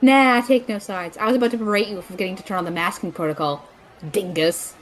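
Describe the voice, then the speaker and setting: A woman's voice: mid-range voice, fairly even intonation, fast, a little hiss or hum, natural voice. A woman playing a tech-minded, sarcastic female sidekick who teases dryly, in an audio drama. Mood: bossy, mocking. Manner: dry, sarcastic, teasing.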